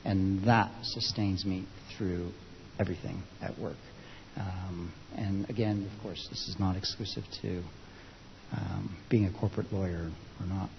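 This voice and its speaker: Low-voiced man in an echoey hall. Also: fairly even intonation, a little slow, gravelly. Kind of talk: thoughtful unscripted talk to a group. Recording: dull, hissy, echoey hall recording.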